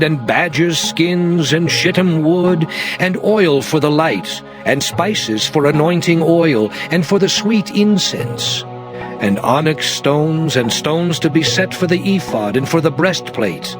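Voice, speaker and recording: An actor playing Moses, a stern aged prophet, declaiming commandments in a solemn, measured way over music. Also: male voice, on the high side, lively intonation. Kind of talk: dramatized scripture reading.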